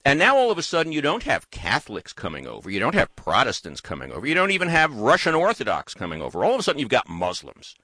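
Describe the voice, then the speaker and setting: Talky guy from the us, bouncy pitch, bright tone, thinks out loud in long runs, dry mic. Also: quick pace, hardly any pauses, no echo. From United States. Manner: thoughtful, animated, talks in long winding runs. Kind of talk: casual political chat.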